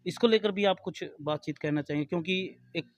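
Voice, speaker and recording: Man, news interviewer, high voice, dry sound, informal field reporting. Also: brisk, no room sound.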